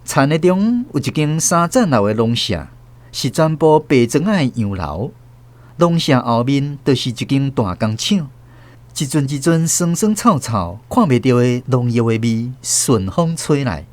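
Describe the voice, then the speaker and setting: A man narrating a radio drama as host, with expressive storytelling. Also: medium pitch, a little slow.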